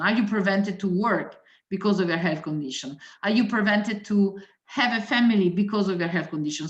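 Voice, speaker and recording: A woman doctor, a native Italian speaker with an Italian accent, giving a methodical webinar lecture that lists points with frequent hesitations. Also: mid-range voice. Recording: roomy, dull lo-fi audio.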